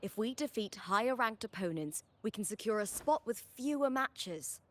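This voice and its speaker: A woman's voice: a little high, animated, normal speed, close to an everyday voice. A woman voicing a confident, spirited young gladiator and friendly battle partner in a video game. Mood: confident, explaining.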